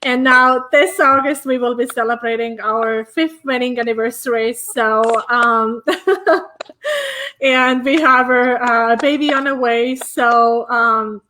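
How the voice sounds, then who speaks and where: A woman's voice: on the high side, animated, slow pace, bright, forceful tone, a little hiss or hum. A woman from Estonia, a business coach guest, talking casually with many fillers in a hotel room. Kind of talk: casual conversation.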